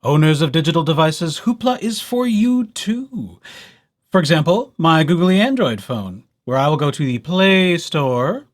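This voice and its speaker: A man presenting a casual software tutorial as a library host, with playful, wry asides. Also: a little high, animated, measured pace. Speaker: library presenter hosting a digital services tutorial.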